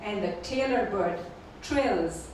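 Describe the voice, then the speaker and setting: Slow poet reading in an echoey hall. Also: female voice, medium pitch, animated, a little mellow, far from the mic, a little hiss or hum. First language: Urdu. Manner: slow measured recitation, repeating each first line. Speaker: featured poet. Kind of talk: poetry reading with spoken introductions. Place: performing arts venue.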